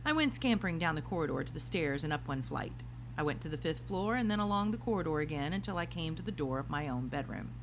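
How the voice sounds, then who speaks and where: A woman reading a children's storybook aloud in an expressive narrating style. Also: low-pitched, fast talker, background noise. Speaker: storybook reader.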